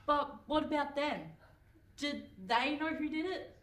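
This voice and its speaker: A woman playing a brisk, impatient narrator in a comic radio play. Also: sits high, steady tone, fairly bright tone. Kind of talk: comic scripted narration before live audience.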